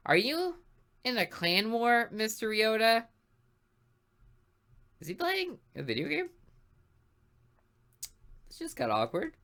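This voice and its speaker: A woman's voice: on the high side, sing-song, takes it slowly, long pauses, fairly bright tone. A woman hosting a gaming video, reading a visual novel aloud expressively with her own asides.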